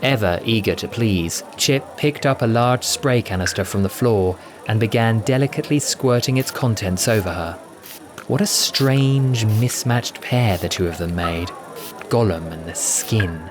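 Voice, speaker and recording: Low-voiced man narrating a story. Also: a little slow, crowd noise. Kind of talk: scripted dramatic audiobook narration.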